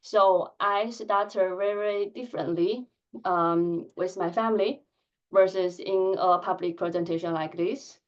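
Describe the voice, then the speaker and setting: A woman in her thirties who stutters, giving a candid conference talk with blocks and filled pauses. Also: mid-range voice, steady tone, lo-fi sound. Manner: soft-spoken, candid, measured. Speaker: conference speaker. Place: conference hall.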